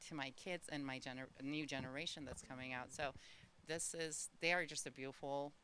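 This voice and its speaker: Woman, foreign accent, bright tone, effusive, earnest, casual interview guest, background noise. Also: a bass voice, fairly even intonation, brisk, low-quality recording. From Afghanistan, grew up in California's South Bay. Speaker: guest, publicist and boxing fitness promoter. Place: live golf charity event. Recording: clear background noise at live event.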